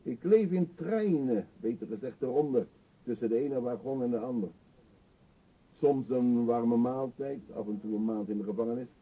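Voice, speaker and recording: An actor in a radio play voicing a middle-aged male vagrant, self-pitying and confessional, with a husky, halting, theatrical delivery. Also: mid-range voice, natural voice. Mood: bleak, resigned. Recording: dull lo-fi radio play recording.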